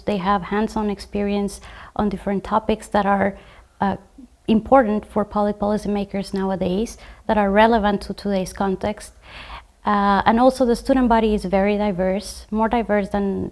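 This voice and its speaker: A woman from Guatemala, a graduate student, talking about her study program in a measured way, with frequent fillers and restarts. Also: mid-range voice, little pitch movement, a little mellow. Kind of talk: conversational program overview.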